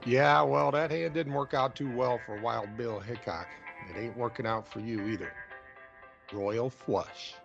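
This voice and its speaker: An actor playing a gruff, sardonic man on a yacht in a radio drama, blunt and slangy. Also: medium pitch, unhurried, muffled recording, music in the background. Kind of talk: audio drama acting.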